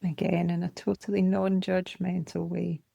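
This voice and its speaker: Female meditation guide: lower register, gravelly edge, calm and unhurried phrasing, gentle instruction. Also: no echo. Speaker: meditation teacher. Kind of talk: guided body scan meditation.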